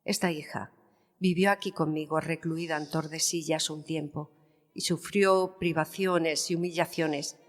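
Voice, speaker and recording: Actress as a haughty low-voiced queen in a reverberant hall. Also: steady tone, a little slow. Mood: proud, bitter. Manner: emphatic, haughty, theatrical. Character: proud, bitter, passionate Spanish queen, defiant and indignant. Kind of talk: dramatised historical interview, audio fiction. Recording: long reverberant hall sound. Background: violin music.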